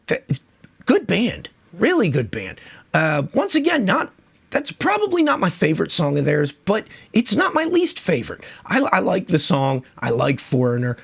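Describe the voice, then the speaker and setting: A man's voice: high voice, huge pitch swings, normal speed, gravelly, breathy edge. A man who is losing his voice from a cold, hosting a casual music countdown in a chatty, enthusiastic, rambling way. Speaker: music video host.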